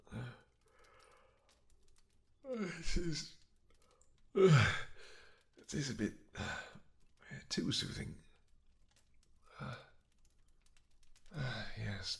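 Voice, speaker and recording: A man playing a weary, gentle vampire king who speaks softly and haltingly to his beloved in a romantic fantasy audio roleplay. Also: lowish voice, full character voice. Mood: doting, drowsy. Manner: soft, halting, gentle, intimate. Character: weary, tender vampire king, doting on his pregnant wife.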